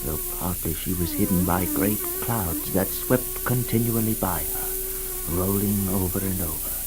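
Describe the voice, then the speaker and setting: A man's voice: low voice, a little slow, pitched below the performer's own voice, poor audio. A man playing a lovelorn king who declaims his dream in a grandiose, yearning 1960s radio drama monologue. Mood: awed. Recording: 1960s radio production with music behind.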